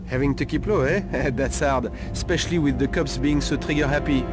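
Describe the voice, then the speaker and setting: An actor playing a sleazy, nervous Paris street informant in a video game cutscene, talking in a halting, insinuating way. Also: male voice, medium pitch, over music, lightly acted. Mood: mocking, oily.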